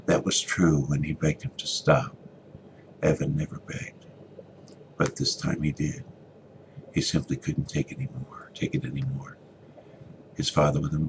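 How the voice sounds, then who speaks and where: A man giving a dramatic reading of a story, theatrical, moving between hushed and forceful delivery. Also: a little hiss or hum, poor audio. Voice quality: very deep, gravelly. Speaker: author reading a short story.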